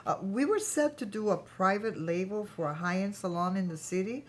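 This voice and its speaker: A woman's voice: medium pitch, moderate pace, close to an everyday voice. A woman acting in a staged reading as a chatty, self-assured small-company boss, polished and businesslike. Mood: recounting, wry. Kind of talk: staged reading of a workplace satire.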